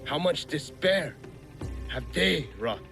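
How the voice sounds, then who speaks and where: Slow, solemn feudal lord. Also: male voice, on the high side, expressive, vocal fry, over music, exaggerated voice. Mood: bitter grief. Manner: measured, poetic, solemn. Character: proud, grieving feudal Japanese lord, poetic and vengeful. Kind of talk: video game cutscene acting.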